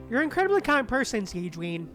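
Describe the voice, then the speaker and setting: A man streaming a game, giving short, slangy, casual reactions in a muttered way. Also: very high voice.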